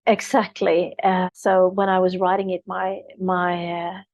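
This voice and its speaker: Swedish-native woman with flat, even delivery. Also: fairly low pitch, measured pace, no room sound, highs cut off. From Sweden. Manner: earnest, flowing spiritual talk. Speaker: author and spiritual coach. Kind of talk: podcast interview.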